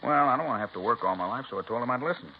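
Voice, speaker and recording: An actor playing a defensive, streetwise ex-con with a blunt, slangy manner, in 1950s radio drama style. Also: male voice, medium pitch, animated, rapid-fire, natural voice. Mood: wary, explaining. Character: defensive, streetwise male ex-con, wary but talkative. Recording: muffled, noisy 1950s radio recording.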